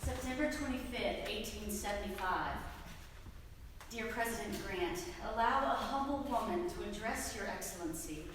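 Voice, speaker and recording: A woman's voice: medium pitch, normal speed, far from the mic, close to an everyday voice. Lady playing an earnest 1870s woman reading out her plea, solemn and formal, big echoey hall with some noise. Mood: earnest pleading. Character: earnest, indignant humble woman writing a plea in 1875. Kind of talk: dramatic reading of a historical letter.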